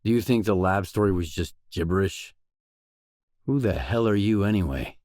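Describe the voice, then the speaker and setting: A man's voice: low-pitched, lively intonation, normal speed, darkish tone, no echo, studio quality. A man playing a guarded, determined post-apocalyptic stalker in a video game, speaking in a terse, probing, matter-of-fact way. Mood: probing, businesslike. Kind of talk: isolated video game dialogue lines.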